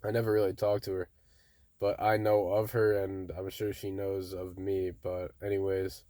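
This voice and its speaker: A young man casually telling a story to his viewers in a monotone, laid-back way, often saying "bro". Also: deep voice, normal speed, no echo. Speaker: vlogger.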